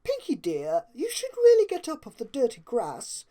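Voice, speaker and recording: A refined female unicorn from a storybook, played by a woman reader. Her voice is high and swoops with prim, genteel phrasing. She sounds fussy and vain, yet caring. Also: huge pitch swings, moderate pace, mellow. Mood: chiding.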